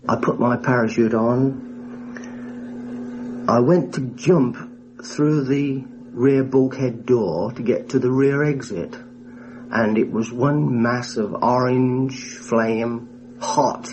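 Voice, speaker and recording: Male survivor interviewee, average pitch, vivid first-person telling, lightly hissy vintage radio sound. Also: takes it slowly, darkish tone, rough recording. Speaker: air crash survivor recounting his wartime experience. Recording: hissy 1960s radio documentary recording.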